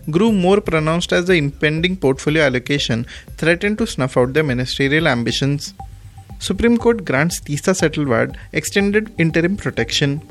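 Male news presenter, Indian accent, voice a little higher than average, scripted bulletin read over music.

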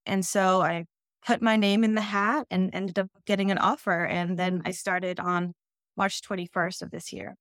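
A woman originally from the Netherlands, in her thirties, explains her work in a measured, steady way. Her voice is smooth and clear. It sounds dry and close, with no room around it. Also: medium pitch, dry recording. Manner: measured, explanatory, occasional restarts. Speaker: school district planning head. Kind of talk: interview answers.

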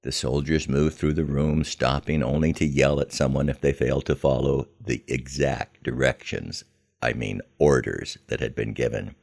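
An older American man telling a scripted first-person story in a measured, reflective manner. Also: a bass voice, steady tone, gravelly, darkish tone. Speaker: podcast host telling his memoir.

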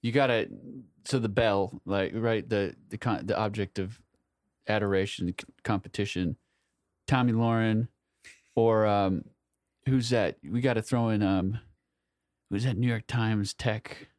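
Lowish-voiced wry man, dry recording. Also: steady tone, unhurried, a little mellow, no echo. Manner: wry, mock-grandiose phrasing. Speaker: literary podcast co-host. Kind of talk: casual banter.

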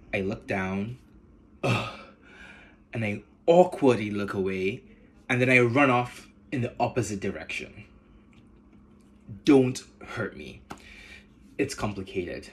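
A man from Nigeria with a Nigerian accent, hosting a podcast in measured, earnest scripted narration. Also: on the low side, fairly bright tone. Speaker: podcast host.

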